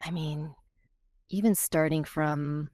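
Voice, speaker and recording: A woman's voice: low voice, steady tone, slow pace, dark tone, no room sound, studio quality. A woman in her thirties, born in Korea with Korean as her first language and raised in California, talks reflectively as a podcast guest, searching for words as she goes. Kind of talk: casual chat.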